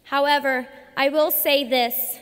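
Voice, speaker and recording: A young woman in her twenties gives a formal graduation speech at a lectern, earnest and measured, with emphatic pauses. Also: high-pitched, steady tone, a bit bright. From United States. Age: 20s. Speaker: student commencement speaker. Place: large arena at a lectern. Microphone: podium microphone. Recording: long arena reverb.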